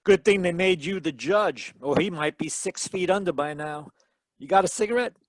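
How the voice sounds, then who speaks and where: A man's voice: high voice, normal speed, no echo, lightly acted, poor audio. A man playing a wisecracking hard-boiled detective who narrates in 1940s radio mystery style, wry and slangy. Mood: wry, pressing. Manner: wry, slangy, worldly-wise. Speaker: actor in a radio mystery performance. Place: home, live video call performance.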